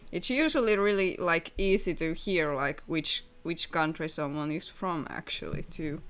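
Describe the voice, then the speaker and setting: A woman's voice: sits low, moderate pace. A young woman streaming live and chatting casually, giggling and laughing often as she talks.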